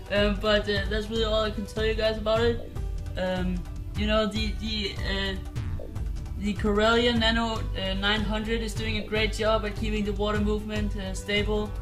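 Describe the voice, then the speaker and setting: A man with a Danish accent, talking casually while showing his aquarium, rambling with lots of ums and restarts. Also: high voice. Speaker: aquarium hobbyist vlogger. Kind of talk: casual show-and-tell vlog. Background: music.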